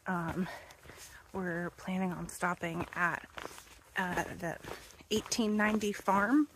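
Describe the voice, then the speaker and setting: A woman's voice: lowish voice, fairly even intonation, slow pace, noisy background. A young woman, chatting casually into a phone held at arm's length while thru-hiking on a wooded trail, sometimes puffing from the climb. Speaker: thru-hiker vlogger. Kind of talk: casual vlog. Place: outdoors on a wooded mountain trail.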